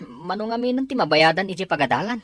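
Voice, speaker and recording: A man playing a caring, responsible and often frustrated younger brother with a husky voice and Filipino Ilocano accent in an audio drama. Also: sits high, very animated, quick pace, fairly bright tone, no echo, full character voice. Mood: resigned, concerned.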